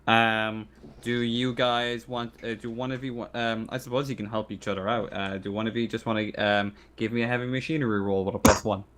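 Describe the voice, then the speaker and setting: A man's voice: mid-range voice, fairly even intonation, brisk, fairly bright tone. A man acting as game master, narrating and explaining rules in an informal, conversational way. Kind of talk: casual tabletop game narration and rulings. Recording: faint background noise.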